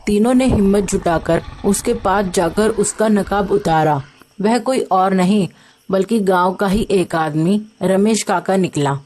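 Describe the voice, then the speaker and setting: A woman's voice: medium pitch, measured pace, darkish tone, poor audio. A woman reading a scripted story as its narrator.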